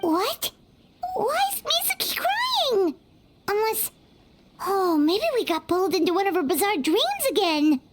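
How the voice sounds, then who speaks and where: A woman voicing a tiny, chatty, excitable fairy girl in a video game, with a squeaky childlike voice, talking in exclamations. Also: a little slow, background noise, exaggerated voice. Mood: puzzled, concerned. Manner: speaks of self in third person, exclamatory. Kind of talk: English video game voice acting.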